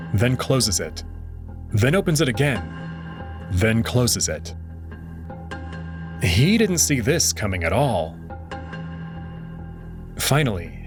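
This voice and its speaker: A man narrating a visual novel aloud in an expressive way. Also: mid-range voice. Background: background music.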